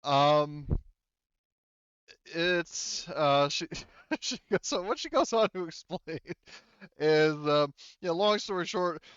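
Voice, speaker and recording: A man's voice: a little high, expressive, slow pace, fairly bright tone, clean tone, no echo, highs cut off. A man narrating a tabletop game as game master in an informal, sweary, amused way.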